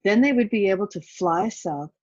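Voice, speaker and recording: A woman's voice: medium pitch, expressive, moderate pace, darkish tone, dry recording, dull audio. A woman reading a children's picture book aloud, with asides to the young listeners. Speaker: volunteer story reader.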